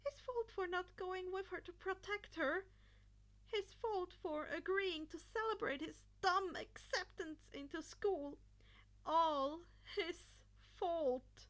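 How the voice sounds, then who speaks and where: Woman as an anguished, high-voiced teenage boy. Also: takes it slowly, fairly bright tone, heavily acted. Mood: anguished guilt. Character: anxious, guilt-ridden teenage boy hero. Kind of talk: fan fiction audiobook character voice.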